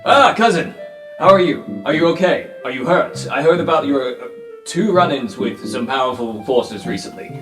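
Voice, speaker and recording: A man's voice: medium pitch, lively intonation, normal speed, fairly bright tone, echoey room, soft background music, lightly acted. A man voicing a protective older superhero cousin in a tabletop game, solicitous and apologetic. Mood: fretful concern. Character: fussy, protective older cousin hero called a Skull.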